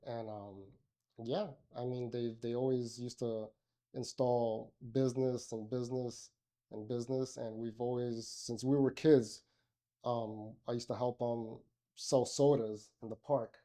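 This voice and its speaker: A man's voice: medium pitch, level tone, unhurried, warm tone. A middle-aged man from Miami, a podcast guest, talking casually with many fillers and restarts. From Miami, Florida. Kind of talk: casual interview conversation.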